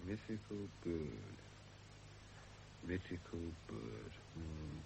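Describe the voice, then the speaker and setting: An actor playing an amiable, slow-witted man in a radio drama, hesitant and plain-spoken, muttering a little. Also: very deep voice, sing-song, creaky, exaggerated voice. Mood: puzzled. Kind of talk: 1970s British radio drama acting. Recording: dull, noisy old radio drama recording.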